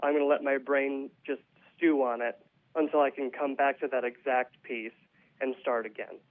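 A man's voice: mid-range voice, fairly even intonation, normal speed. A man being interviewed as a playwright, explaining his thoughts reflectively in a conversational way. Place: phone call to a radio show. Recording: tinny narrow phone line.

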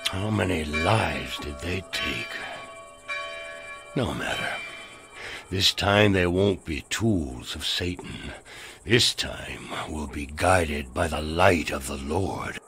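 A man playing a stern, fanatical old gunslinger turned frontier preacher in a video game, solemnly reciting with a gravelly voice. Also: extremely low pitch, animated, slow, a bit bright, full character voice. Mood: grim, resolute. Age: older. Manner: solemn, ominous scripture recital. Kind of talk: dramatic video game acting. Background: music.

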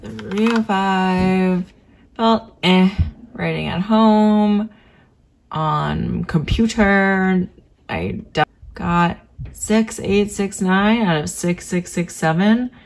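A woman's voice: mid-range voice, very slow, recorded from a distance. A young adult woman talking in an informal, self-deprecating way, as in a casual personal vlog. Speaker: novelist vlogger. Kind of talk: casual vlog chat to camera. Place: small home room with desk.

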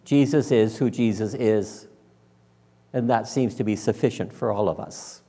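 Slow-paced older male preacher in a reverberant church. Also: fairly low pitch, mellow, slightly rough. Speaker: minister preaching. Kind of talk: conversational sermon. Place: large church sanctuary, indoors.

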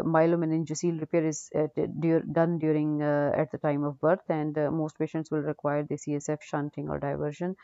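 A woman's voice: low voice, dark tone, no echo. A woman giving an unscripted radiology case lecture, explaining in a hesitant, repetitive way. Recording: dull, dry lo-fi audio.

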